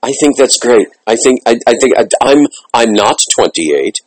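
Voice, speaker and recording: Husky-voiced male interview host. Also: mid-range voice, lively intonation, normal speed, a little mellow, dry recording, rough recording. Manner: chatty, restarts phrases, enthusiastic. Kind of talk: radio interview.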